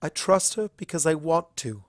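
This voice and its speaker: A man voicing a kind, earnest female alicorn pony princess in a storybook reading, speaking in a solemn, measured way. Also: a little high, lively intonation, dry recording, studio quality, voice pushed up, natural voice. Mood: tender. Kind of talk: fan fiction storybook reading.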